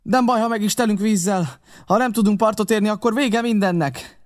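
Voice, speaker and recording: A man's voice: high voice, pitched above the performer's own voice, lightly acted. A man voicing a courteous, resolute male sea captain in an audiobook, giving clipped, urgent orders. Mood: urgent command.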